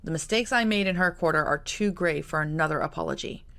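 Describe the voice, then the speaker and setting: A woman voicing a proud, ashamed gentleman in a grave, reserved tone, with a low, gravelly voice. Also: fast talker. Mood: stern.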